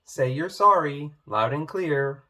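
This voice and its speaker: Little pig for a storybook male reader: small but brave, bossy, scolding, unhurried, animated pitch. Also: heavily acted. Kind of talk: children's storybook read-aloud.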